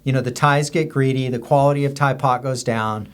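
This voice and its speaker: A man's voice: mid-range voice, fairly even intonation, a little fast, clear, resonant tone. An American man speaks as a podcast guest in an unscripted, conversational way. He unfolds anecdotes loosely, circling through examples and details as he explains. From US border region. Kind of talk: casual anecdotal storytelling.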